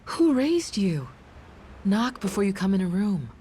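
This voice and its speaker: A woman's voice: medium pitch, very animated, a little slow, a little hiss or hum. An actress playing a cool, menacing envoy of a pirate queen in a video game, poised and sardonic. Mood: stern, threatening. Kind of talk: video game dialogue acting. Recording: loud music bed behind voice.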